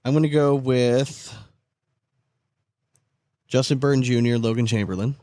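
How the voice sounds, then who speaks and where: A man's voice: medium pitch, steady tone, measured pace, warm tone, no room sound, clean studio sound. Blunt, profane man, casual podcast host. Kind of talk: casual sports banter.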